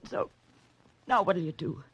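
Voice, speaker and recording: An American actress playing a secretive, anguished woman in her thirties, halting and pleading in melodramatic 1940s radio style. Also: fairly low pitch, lively intonation, husky, dull audio. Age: 30s. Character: anguished, secretive 35-year-old daughter of a convict.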